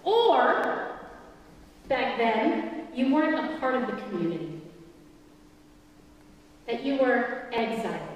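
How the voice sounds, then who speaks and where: A middle-aged woman giving a conversational, reflective sermon in a large church hall, gently inviting listeners and repeating phrases for emphasis. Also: fairly high pitch. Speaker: preacher. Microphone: distant camera in the audience. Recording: echoey hall from far off, some noise.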